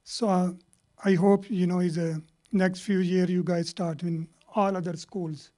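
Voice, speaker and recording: A middle-aged man from Pakistan with a Pakistani accent, a Punjabi native speaker, giving rambling, halting panel remarks full of fillers into a handheld mic in a lecture hall. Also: high-pitched, level tone, warm tone.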